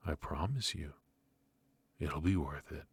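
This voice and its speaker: A man playing a teasing, menacing mafia boss in an ASMR roleplay, speaking in a halting, mock-polite, softly taunting way. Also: rumbling low, creaky, background noise, full character voice. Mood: flirtatious, intrigued.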